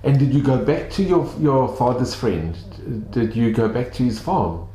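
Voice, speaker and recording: A man's voice: medium pitch, very animated, moderate pace, warm tone. A man conducting a conversational interview, asking short questions and reacting briefly. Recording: echoey room with clear background noise.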